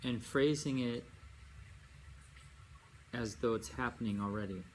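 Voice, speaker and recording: A man leading a guided meditation as a yoga nidra teacher, speaking in unhurried phrases with long pauses and gentle instruction. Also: medium pitch. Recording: amateur recording with faint background noise.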